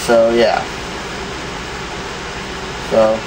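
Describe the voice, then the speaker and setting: A man's voice: completely flat delivery, extremely slow. A man hosting a casual, unscripted gadget demonstration, talking in an offhand, rambling way. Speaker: video host showing vintage electronics. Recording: clear background hum and rumble noise.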